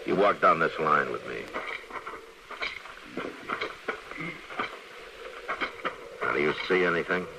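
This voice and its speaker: An actor playing a stern, weary middle-aged US Marshal of the Old West in a 1950s American radio western, speaking gravely and bluntly with an American accent. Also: male voice, a bass voice, quick pace. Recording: noisy vintage radio recording.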